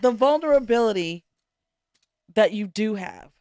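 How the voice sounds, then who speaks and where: A woman's voice: mid-range voice, lively intonation, measured pace, a little mellow, dry recording, studio quality. Woman in her fifties, chatty co-host. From California. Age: 50s. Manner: laughs easily, probing questions. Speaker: podcast co-host. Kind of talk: casual chat.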